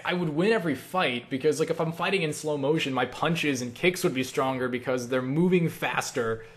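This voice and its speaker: A young man chatting casually in a question-and-answer vlog, rambling and self-deprecating, with casual swearing. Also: fairly high pitch. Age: young adult. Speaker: online video creator answering viewer questions.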